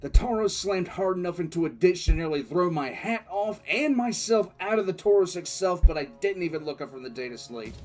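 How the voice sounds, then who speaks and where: A man's voice: high voice, animated, fairly quick, few pauses, darkish tone. A man playing a tense, frustrated military commissar telling his war story as dramatic first-person narration in an audio drama. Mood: exasperated, forceful. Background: background music.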